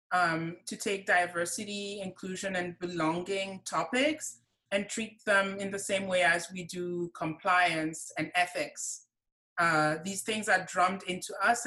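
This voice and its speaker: A woman's voice: on the low side, sharp tone. A woman answering interview questions with earnest, articulate explanations. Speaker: guest.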